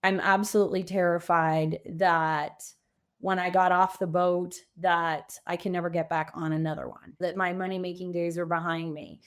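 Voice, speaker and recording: A woman's voice: fairly low pitch, level tone, moderate pace, smooth. Reflective woman in a podcast conversation. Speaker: guest, pivot coach.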